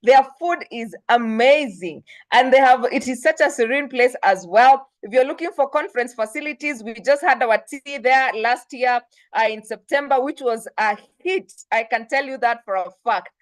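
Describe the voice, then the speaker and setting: High-pitched Kenyan woman hosting. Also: moderate pace, no echo. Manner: effusive, promotional. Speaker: host. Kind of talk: enthusiastic event announcements and guest introduction.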